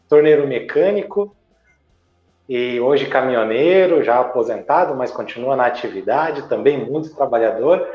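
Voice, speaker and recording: A man from Santa Catarina, Brazil, a businessman and journalist, answering interview questions in a measured, explanatory way and pausing to find his words. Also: medium pitch. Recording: echoey, dull lo-fi sound.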